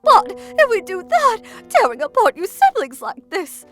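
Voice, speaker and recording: A woman playing a gentle, anxious, apologetic middle-aged mother in a manga dub, tender and emotional. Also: extremely high pitch, very animated, measured pace, faint music behind, heavily acted. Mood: distressed. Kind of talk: anime-style manga dub acting.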